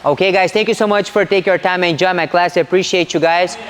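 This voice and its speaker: A man's voice: sits high, steady tone, quick pace, heavy background noise. A man in his twenties with a Brazilian accent, a jiu-jitsu instructor talking casually and earnestly, with encouraging emphasis. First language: Portuguese. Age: 20s. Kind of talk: casual instructional talk to camera and class. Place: large indoor martial arts gym. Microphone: small mic clipped to chest.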